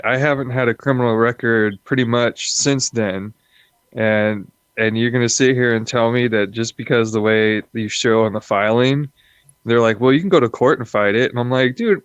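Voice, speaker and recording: A man's voice: medium pitch, normal speed, clean tone, no echo, lo-fi sound. A man in casual chat, easygoing and agreeable, often restarting his sentences.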